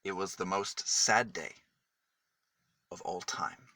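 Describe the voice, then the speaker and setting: A man reading a children's story aloud in a dramatic, deliberate manner with emphatic pauses. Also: sits low, pushed and bright, dry recording.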